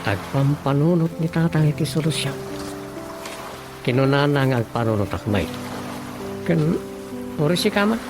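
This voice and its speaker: An earnest village husband who likes solving problems, his voice fairly high and gravelly. It is radio comedy drama, played over music. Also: lively intonation, normal speed, pauses often.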